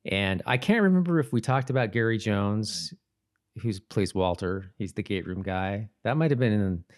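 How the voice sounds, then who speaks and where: A man co-hosting a podcast in casual conversation. His voice sits a little low and comes across smooth and clean, with an informal, chatty commentary style. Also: lively intonation, normal speed. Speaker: podcast host.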